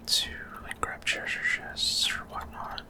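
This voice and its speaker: Whispering man, ASMR gameplay commentary. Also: a little slow. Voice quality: soft unvoiced whisper. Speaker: ASMR gaming streamer.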